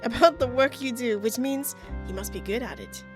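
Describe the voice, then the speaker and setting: A woman's voice: fairly high pitch, normal speed, soft background music, close to an everyday voice. Woman putting on a cool, poised witch. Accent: put-on French, slipping into British. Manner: calm, formal, coolly polite. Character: poised tall female witch, cool and detached.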